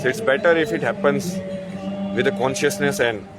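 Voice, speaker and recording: A man's voice: medium pitch, moderate pace, loud music behind the voice. A man talking in a rambling, casual way, with lots of "like" and "no?". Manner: rambling, uses "like" and "no?" a lot, chuckles. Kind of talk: casual monologue.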